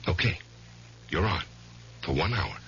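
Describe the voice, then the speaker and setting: An American actor playing an uneasy, guilt-haunted middle-aged lawyer in a 1970s radio drama. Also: male voice, sits low, sing-song, unhurried, very noisy. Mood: evasive banter. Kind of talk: 1970s radio drama acting, first-person narration and dialogue. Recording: dull vintage radio recording with music.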